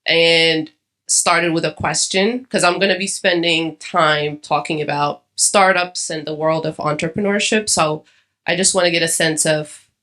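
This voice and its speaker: A woman's voice: deep voice, fairly even intonation, measured pace, sharp tone. Chatty woman speaker from Ethiopia. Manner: conversational, many fillers and restarts. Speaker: conference speaker. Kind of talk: conference talk. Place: conference hall.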